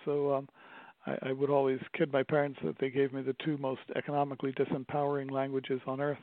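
A middle-aged man, a theater owner speaking as a radio interview guest, pausing often with fillers and restarting his sentences. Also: mid-range voice, monotone, dry recording. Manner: halting, many fillers and restarts. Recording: dry, tinny phone-like line.

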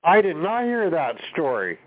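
A man's voice: on the high side, wildly swooping pitch, normal speed. A man hosting a casual talk show, steadily leading the conversation and questioning a guest. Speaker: podcast host. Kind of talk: casual chat, interviewing. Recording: tinny phone-like line.

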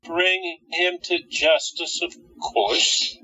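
A man acting a bright, high-voiced eager spy. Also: drawn-out words, heavily acted. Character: eager time-traveler spy, flamboyant and unbothered. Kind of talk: amateur audio drama acting. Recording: dull lo-fi recording.

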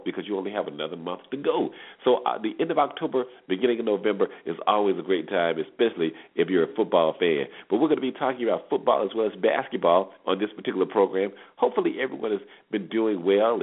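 An American man hosting a sports talk show in a conversational, emphatic way, repeating phrases for emphasis. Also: on the low side. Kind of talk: conversational sports radio commentary. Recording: thin phone-like internet radio line.

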